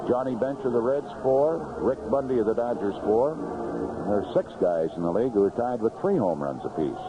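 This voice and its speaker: An American man calling a baseball game play by play on 1970s radio, in clipped, unhurried phrases from an outdoor ballpark booth. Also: medium pitch, animated. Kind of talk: live radio sports commentary, 1970s. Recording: noisy vintage AM radio broadcast, muffled.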